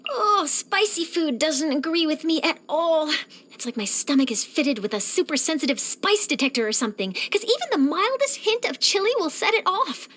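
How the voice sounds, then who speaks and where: Eager high-pitched young girl reporter. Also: normal speed. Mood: chatty, playful. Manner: animated, chatty, expressive, theatrical delivery. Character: young female newspaper reporter, eager, curious, nosy, cheerful. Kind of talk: scripted video game character voice lines.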